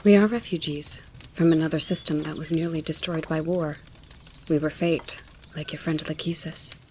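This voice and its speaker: Woman acting as stately seamstress in her fifties, measured, matter-of-fact, composed, audio drama, faint noise behind. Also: deep voice, steady tone, a little mellow, natural voice. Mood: grave. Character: composed blind refugee seamstress, stately woman of about fifty.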